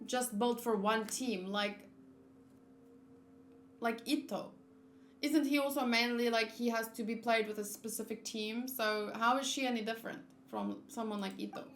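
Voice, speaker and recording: Female streamer: casual, halting, musing commentary, highish voice, roomy sound with faint music behind. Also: a bit bright. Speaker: game streamer.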